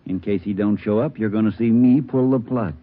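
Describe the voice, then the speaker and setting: A man's voice: brisk, natural voice. An actor playing a crazed male bomber with an American accent, curt and bullying, in a 1940s radio crime drama. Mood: tense, brooding. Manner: curt, bullying, slangy commands. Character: crazed, menacing male criminal bomber holding police hostage. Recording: muffled, noisy 1940s radio recording, rumble.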